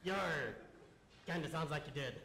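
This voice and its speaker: A man's voice: on the high side, a little slow, gravelly, sharp tone, heavily acted. A man playing a talking book as a hearty pirate sea captain in a comic sketch, with growly pirate slang. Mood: dry, grumbling. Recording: echoey room, audience noise behind.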